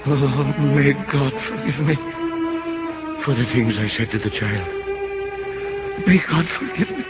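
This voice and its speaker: An actor playing a proud, gruff, tormented old lighthouse keeper in an old-time radio drama, with emotional seafaring speech. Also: male voice, mid-range voice, expressive, slow pace, highs cut off, loud music behind the voice. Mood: anguished. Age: older. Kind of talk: 1930s-40s radio drama acting. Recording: noisy vintage radio drama recording.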